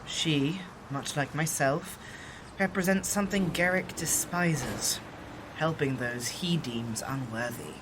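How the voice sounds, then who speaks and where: A man voices a dignified exiled shapeshifter who chooses his words with care and keeps his tone formal and measured. A faint hiss lies under his voice. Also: on the high side. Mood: solemn. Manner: formal, measured, old-fashioned phrasing. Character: formal, dignified exiled shifter who opens void portals.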